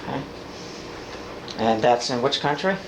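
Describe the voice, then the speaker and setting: A man's voice: mid-range voice, expressive, unhurried, vocal fry. Male interviewer in an echoey, noisy room. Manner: repeats answers back, prompting. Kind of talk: informal question-and-answer interview.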